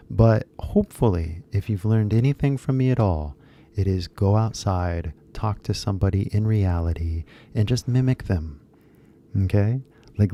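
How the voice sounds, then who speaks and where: Brief: man in his 30s, dark gravelly tone, loose rambling live-stream host. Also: fairly low pitch. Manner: rambling, heavy fillers, switches into Japanese. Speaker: English teacher YouTuber. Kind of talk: casual live stream chat.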